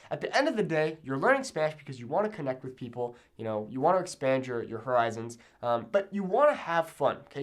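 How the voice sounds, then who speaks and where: A man's voice: fairly high pitch, a bit bright. A man giving an instructional talk to camera as a language teacher, emphatic and often checking in with the learner. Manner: emphatic, checks in with "okay?" and "right?".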